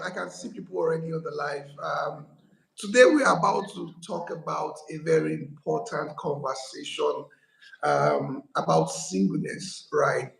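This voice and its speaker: A man hosts a casual live stream, his voice rough and gravelly and swinging lively up and down. He talks in a playful, hyping way, and his voice rings in an echoey room. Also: a little high. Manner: animated, playful hype.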